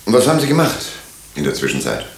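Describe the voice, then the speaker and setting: Police inspector: lowish voice, courteous but probing, methodical, roomy radio-play sound. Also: male voice, wildly swooping pitch. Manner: formal, probing, deliberate. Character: methodical, courteous male police inspector questioning murder suspects. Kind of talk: German radio drama acting. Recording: roomy, echoing radio drama studio.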